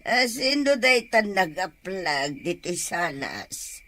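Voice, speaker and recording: A woman playing a frail, tearful old grandmother in a radio drama, with a drawn-out, quavering way of speaking. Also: on the low side, sing-song, sharp tone, slight background noise, heavily acted. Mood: fussing. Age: older.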